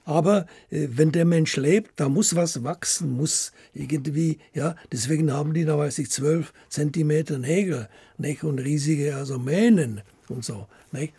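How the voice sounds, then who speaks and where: An older man, a writer being interviewed, talking haltingly as he searches for words and often adding little tag questions. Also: a little high, mellow, slightly rough, no echo, studio quality. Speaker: radio play writer as interview guest. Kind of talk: unscripted interview chat.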